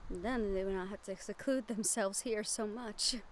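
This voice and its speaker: A woman playing a gentle, earnest young magic-wielder in an audio roleplay, soft-spoken and measured with thoughtful pauses. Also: mid-range voice, slight background noise, close to an everyday voice. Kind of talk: audio roleplay acting, addressed to the listener. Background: ambient sound effects.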